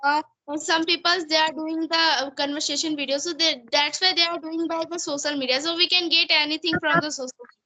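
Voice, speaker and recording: A young woman speaks English with an Indian accent, Hindi being her first language. Her voice is high-pitched and bright. She explains earnestly in conversation, often circling back to the same phrasing. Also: fairly even intonation, fast talker, few pauses. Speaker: student giving English-learning advice. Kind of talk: conversational English practice interview.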